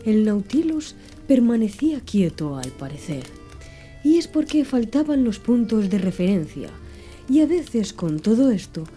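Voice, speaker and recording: Woman narrator, ASMR audiobook, hushed and measured, warm dark tone, faint music underneath. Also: a little high, very animated. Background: faint background music.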